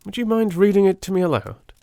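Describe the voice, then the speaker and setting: A man's voice: high voice, animated, fast talker, vocal fry, mellow, dry recording, clean studio sound, voice pushed up. A man playing a brilliant, brusque and self-assured detective in a dramatised audiobook, with a precise, lecturing manner. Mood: calm, dry. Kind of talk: dramatised audiobook character acting.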